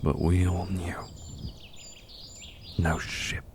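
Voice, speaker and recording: A man playing a brooding dark fantasy prince who is tender with his lover, in an intimate, hushed ASMR roleplay, gravelly and at times whispering. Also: low-pitched, completely flat delivery, a little hiss or hum. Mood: grim, solemn. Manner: slow, hushed, deliberate with long pauses.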